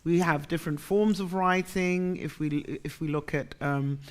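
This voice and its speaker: A middle-aged woman from the Caribbean who grew up in Germany, speaking as a professor on a lecture panel in a reflective, animated way, laughing easily. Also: low-pitched, measured pace. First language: English, Caribbean variety. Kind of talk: panel discussion.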